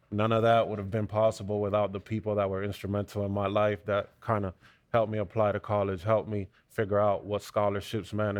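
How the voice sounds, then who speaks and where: A young man from Cuba speaking as a panel guest, earnest and halting, with frequent fillers and restarts. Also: fairly low pitch, no echo. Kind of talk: panel discussion, conversational.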